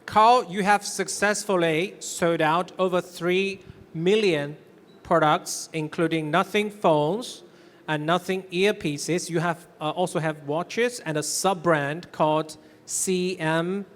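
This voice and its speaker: A man's voice: high-pitched, fairly even intonation, slow. A man moderating an onstage interview, asking questions in a conversational way. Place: stage at a tech expo. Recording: reverberant hall sound.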